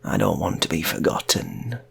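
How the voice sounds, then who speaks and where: A man's voice: sits low, moderate pace, vocal fry, breathy edge, heavily acted. A man voicing an unhinged, desperate gunman who craves godhood, intense and repetitive, in an improvised story. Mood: bitter, forlorn.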